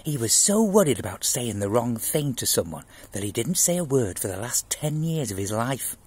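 An earnest schoolboy in a storybook, acted out by an older man. He is pious and eager, reciting facts with great seriousness. The voice is husky and moves up and down a lot. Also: mid-range voice, very animated, normal speed, darkish tone. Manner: earnest, solemnly informative.

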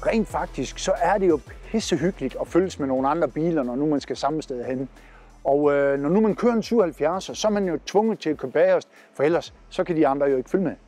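A man's voice: fairly high pitch, expressive, darkish tone, soft background music. A man in his forties with a Southern Jutland Danish accent, chatting upbeat to camera outdoors on a lapel mic. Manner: upbeat, chatty, pointing while talking. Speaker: trucker vlog host. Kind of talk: casual vlog narration to camera. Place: outdoors by parked trucks.

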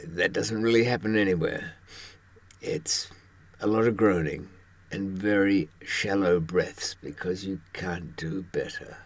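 An elderly man in his seventies giving a wry, halting conversational monologue to camera in a husky voice. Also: sits low. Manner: wry, halting, with pauses for thought. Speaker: presenter talking from personal experience.

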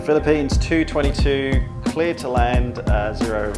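A man in his thirties chatting casually and haltingly making radio calls as a trainee, thinking aloud and sounding flustered, recorded in an indoor room. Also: mid-range voice, loud music behind the voice. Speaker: pilot and vlogger trying controller training. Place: indoor tower simulator room.

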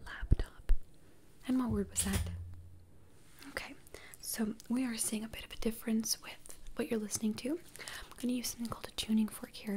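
A woman speaking in a breathy whisper, playing a doctor in an ASMR exam roleplay with gentle, reassuring step-by-step instructions. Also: on the high side.

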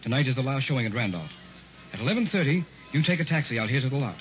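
A man acting as a controlling carnival hypnotist in vintage radio horror. His theatrical delivery moves widely in pitch. The sound is muffled, with music behind it. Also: big pitch swings, racing, close to an everyday voice. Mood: commanding. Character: sinister, smoothly commanding carnival hypnotist.

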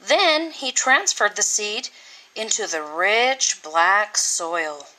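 A woman reading a children's storybook aloud with deliberate, expressive, dramatic phrasing. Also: mid-range voice, rough recording. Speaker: teacher reading a picture book.

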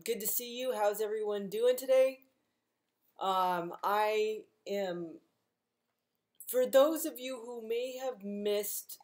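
A middle-aged woman reading a picture book aloud to young children, gentle and expressive, as a librarian at home. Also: medium pitch. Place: living room at home, indoors.

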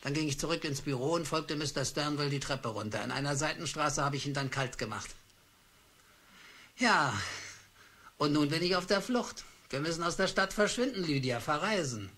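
An actor playing a meek, downtrodden office clerk who narrates his own story, nervously excited and blustering. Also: on the high side, moderate pace. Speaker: narrator and lead of a radio play. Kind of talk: radio drama with first-person narration.

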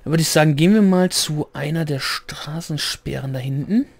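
A man with a slightly hoarse voice giving rambling, chatty commentary while playing a game, clearing his throat now and then. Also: on the high side, moderate pace, dry recording. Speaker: let's play gamer. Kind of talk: casual gameplay commentary.

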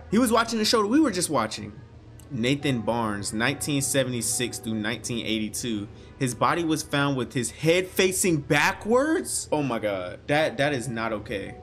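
A man's voice: mid-range voice, a bit bright. A man in his twenties giving casual, slangy, exclamatory reaction commentary as a video host. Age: 20s. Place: small bedroom, indoors.

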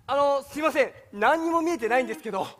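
A man's voice: extremely high pitch, animated, fast talker, a bit bright. A man on stage playing an exasperated, incredulous young male defense lawyer in a comic play. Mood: flustered, exasperated. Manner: incredulous, complaining retorts. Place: theater stage, live performance.

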